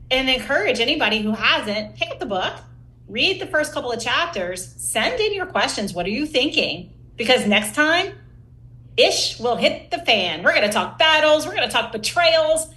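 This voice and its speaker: Female podcast host, bright forward voice, emphatic and engaged interviewer, echoey room sound. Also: fairly high pitch.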